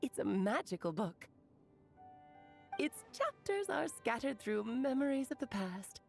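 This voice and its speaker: A woman playing a playful, mysterious, affectionate mage aunt in a video game, theatrical and coy with drawn-out emphasis. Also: a little high, very animated, gravelly, faint music behind, heavily acted. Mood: explanatory, coy.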